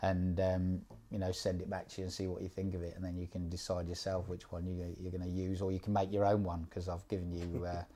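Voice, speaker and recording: A man with a very low, dark voice talks through placement advice in an easygoing, unhurried way. He repeats words and fills pauses with ums, and his pitch barely moves. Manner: relaxed, repeats words, many fillers. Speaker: job placement mentor. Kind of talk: casual one-on-one advice.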